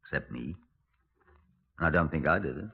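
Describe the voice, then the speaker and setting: An actor playing a world-weary middle-aged police superintendent in a 1950s radio crime drama, speaking in a dry, deliberate, formal way. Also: male voice, very deep voice, gravelly, slightly rough, exaggerated voice. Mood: musing. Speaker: detective and narrator. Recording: muffled, narrow-band 1950s radio recording.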